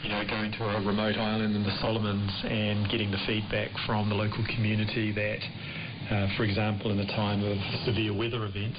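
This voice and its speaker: A man's voice: fairly low pitch, little pitch movement, a little fast. A man speaking as a chief executive in an interview. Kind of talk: interview soundbite. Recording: dull lo-fi radio, clear static.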